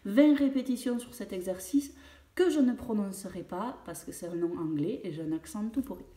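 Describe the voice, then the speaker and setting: A French fitness coach talking through a home workout, with many hesitations and fillers. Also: female voice, medium pitch, normal speed, warm tone. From France. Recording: echoey room, voice far from mic.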